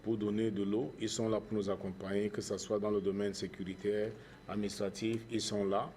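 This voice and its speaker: Low-voiced Cameroonian man, measured and mellow. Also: flat delivery, slight background noise. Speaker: charity founder and musician, interview guest. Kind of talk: earnest interview answers.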